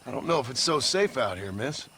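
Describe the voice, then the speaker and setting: Laconic, courteous American cowboy. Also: male voice, medium pitch, very animated, brisk, pushed and bright, a little hiss or hum. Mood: wry concern. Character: polite, wry cowboy drifter, calm and helpful. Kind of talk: video game dramatic acting.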